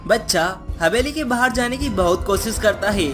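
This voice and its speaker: A woman's voice: mid-range voice, expressive, moderate pace. A woman narrating a scripted moral story for children as a cartoon storyteller. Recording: music behind the voice.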